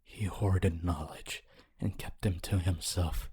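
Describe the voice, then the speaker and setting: A man voicing a lonely, honorable ghost of a teacher in an ASMR roleplay, speaking in a hushed, breathy, halting and wistful way. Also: low-pitched, fairly even intonation, exaggerated voice. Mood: somber, regretful. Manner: slow, halting, wistful, intimate. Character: honorable lonely male ghost, former teacher, tender and regretful.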